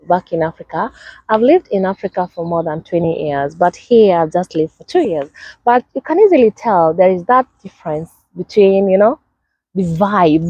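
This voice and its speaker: Kenyan woman vlogging, chatty and animated, dry slightly dull sound. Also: on the low side, dry recording. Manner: animated, acts out greetings in a lively sing-song way. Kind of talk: casual personal storytelling to camera.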